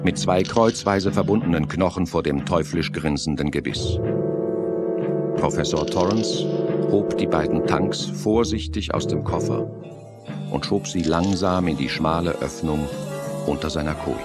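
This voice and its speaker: A man's voice: deep voice, unhurried, over loud music. A man narrating a scripted German radio play as a storyteller.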